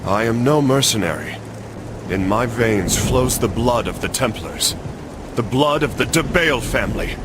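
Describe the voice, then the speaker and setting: A man's voice: medium pitch, animated, measured pace, noisy background, close to an everyday voice. A man playing a bold, proud young Templar warrior in a video game, earnest and declamatory. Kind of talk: video game cutscene acting.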